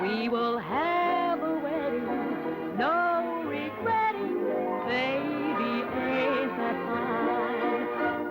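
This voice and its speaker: Female vocalist: high, very slow sung phrasing, loud backing music. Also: poor audio. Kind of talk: singing a sentimental song. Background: loud musical accompaniment.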